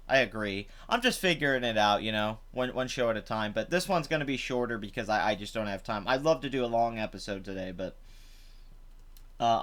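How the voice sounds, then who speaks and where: Chatty man with a rough, hungover voice. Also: fairly low pitch, a bit bright. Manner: rambling, chatty, many fillers. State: rough voice after drinking. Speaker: live stream host. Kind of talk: casual live stream commentary. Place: home.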